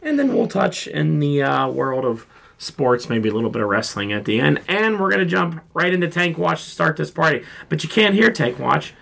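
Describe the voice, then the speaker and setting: Man, podcast host, sore throat, froggy, heavy vocal fry, rambling chat. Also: on the high side, big pitch swings, normal speed, fairly bright tone. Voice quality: froggy, gravelly. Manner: joking, rambling. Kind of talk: casual pop culture chat.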